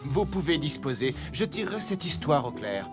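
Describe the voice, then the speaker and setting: A man's voice: on the high side, very animated, normal speed. A man playing a courteous, commanding yet kindly king with a formal manner in a fairy-tale cartoon. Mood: regal, inquiring. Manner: stately, formal. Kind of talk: animated fairy-tale dubbing. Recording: music behind the voice.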